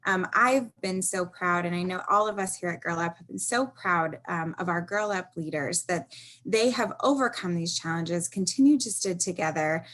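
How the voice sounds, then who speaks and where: A woman's voice: on the low side, moderate pace, a bit bright. Woman hosting an online panel. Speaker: host, executive director.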